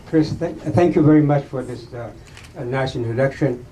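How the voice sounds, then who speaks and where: A man's voice: mid-range voice, moderate pace, mellow, slight background noise, low-quality recording. A man in his seventies with an Iranian accent, a native Farsi speaker giving a humble, joking acceptance speech with many hesitations. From Iran. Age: 70s. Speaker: award recipient, author.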